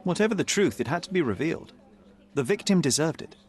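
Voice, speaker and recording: An actor playing a young, principled and stubborn detective in a video game, speaking in a measured, formal way. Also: male voice, fairly high pitch, sing-song. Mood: earnest. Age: young adult. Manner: measured, earnest, formal speech.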